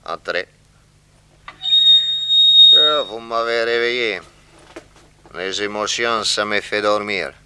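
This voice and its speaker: An actor playing a drowsy, eccentric gatekeeper with a sluggish, deadpan manner in a 1960s French radio drama. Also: male voice, fairly low pitch, takes it slowly, pauses often, very noisy. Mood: sleepy, indifferent. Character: drowsy, eccentric gatekeeper munching peanuts, calming his dog.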